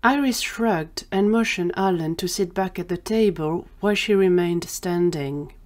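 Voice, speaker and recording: A woman's voice: fairly low pitch, measured pace, darkish tone. A woman narrating a scripted audio drama story as the storyteller.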